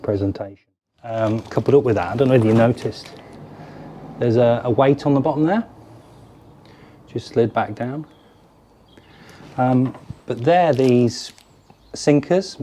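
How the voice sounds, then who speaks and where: Relaxed British presenter in his forties, mellow tone, chatty hands-on product walkthrough. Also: medium pitch, a little hiss or hum. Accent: British English. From United Kingdom. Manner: chatty, hands-on, explains while fiddling with lures. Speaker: fishing tackle presenter. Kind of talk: casual product demonstration to camera. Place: garden cabin or shed, indoors.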